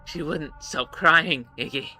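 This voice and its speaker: A woman voicing a cold, unhinged adult woman who speaks slowly and heavily, low and quiet, building to threats. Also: soft background music, exaggerated voice. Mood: manic glee. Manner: slow, heavy, low and quiet, then swelling.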